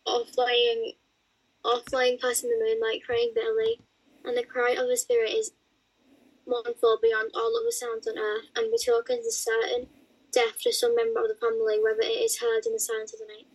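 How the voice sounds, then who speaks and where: A young woman co-hosting a podcast, reading passages aloud in a halting way with casual chat between. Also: on the high side, completely flat delivery, rough recording. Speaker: podcast co-host.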